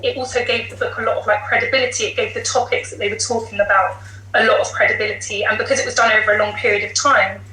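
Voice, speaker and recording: A woman's voice: fairly high pitch, fairly even intonation, quick pace, bright, forceful tone. A woman with a British accent, a teacher and podcast guest, explaining her views in an articulate, emphatic way. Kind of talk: considered discussion. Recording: roomy echo, light background noise.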